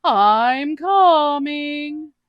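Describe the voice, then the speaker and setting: A narrator voicing a reckless, eager fantasy adventurer with a loud, drawn-out delivery. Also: high voice, animated, no room sound, muffled recording, pitched above the performer's own voice, exaggerated voice.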